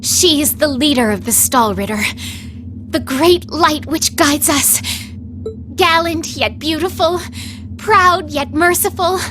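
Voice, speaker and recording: A woman's voice: high voice, slow, a bit bright, soft background music. A woman playing a proud, prickly young female knight in a video game, with haughty, emphatic and formal diction. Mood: adoring, proud. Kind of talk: dramatic video game voice acting.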